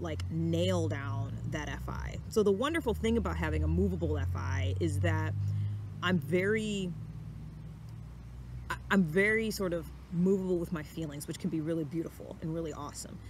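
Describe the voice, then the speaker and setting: A woman's voice: fairly low pitch, normal speed. A young adult woman talking casually and reflectively to camera as a vlog host, explaining her thoughts in a conversational way. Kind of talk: casual talk to camera about personality types. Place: outdoors in woods. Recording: clear steady outdoor background noise.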